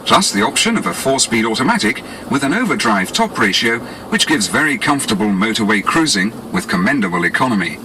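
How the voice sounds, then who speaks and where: A man's voice: on the low side, big pitch swings, fairly quick, few pauses, background noise. A British man reading scripted 1980s promotional narration in a polished, explanatory salesman's manner, on a cassette tape. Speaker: advertising narrator. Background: music.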